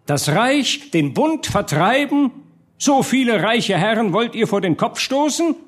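A gaunt, self-important orator acted by a man reading a storybook cries out high above his usual pitch. The hoarse voice harangues a crowd in pompous rhetorical questions, swooping up and down. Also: big pitch swings, measured pace, full character voice. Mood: pompous, indignant.

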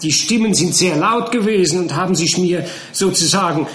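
A man's voice: high-pitched, moderate pace, fairly bright tone, roomy sound, pitched above the performer's own voice. A man voicing a gossipy middle-aged woman witness who rambles in folksy grammar and laughs as she talks. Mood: flustered chatter.

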